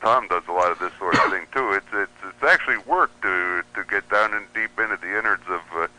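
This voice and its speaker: A man with a low, deep voice that carries a bright and forceful edge. He talks as a radio show guest, halting and restarting, with many fillers. The sound comes through as broadcast radio audio. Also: rough recording. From United States. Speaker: guest radio receiver reviewer. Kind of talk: casual interview chat.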